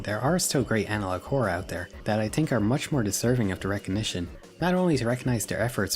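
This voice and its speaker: A man narrating a scripted video essay in an informal, blunt way, with casual opinionated commentary and wry asides. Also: on the low side.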